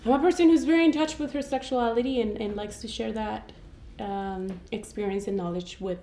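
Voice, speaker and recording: A woman's voice: a little high, a little fast, dark tone, reverberant. A woman from Colombia, a guest on a talk show, chatting candidly with many fillers and restarts and frequent giggles. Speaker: guest sex blogger. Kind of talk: casual talk show chat. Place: studio set at a table.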